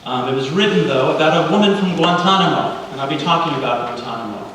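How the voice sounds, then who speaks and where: A man's voice: a little high, lively intonation, fast talker, a bit bright. Echoey hall, earnest man addressing a congregation. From Cuba. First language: Spanish. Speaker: guest speaker leading a congregational service. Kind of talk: sermon-like public address with readings. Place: large reverberant indoor hall, speaking to a congregation. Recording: very echoey hall, distant mic, light noise.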